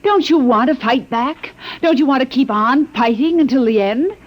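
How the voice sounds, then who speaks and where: A woman's voice: high-pitched, moderate pace. A woman playing an earnest, determined captive in a 1950s radio drama, speaking urgently, heard on a muffled vintage broadcast recording.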